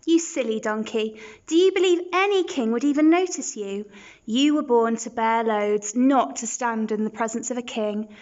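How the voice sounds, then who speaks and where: A woman's voice: fairly high pitch, normal speed, a little mellow, dull audio, natural voice. A woman voicing a spiteful, mocking female hyena in a children's storybook.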